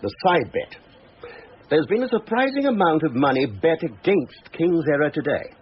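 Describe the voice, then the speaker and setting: An actor playing a precise, knowing racing brigadier in a crime drama, measured and formal as he explains. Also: on the high side, big pitch swings. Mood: knowing, explanatory. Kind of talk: British police drama acting. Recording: dull lo-fi audio with background hiss.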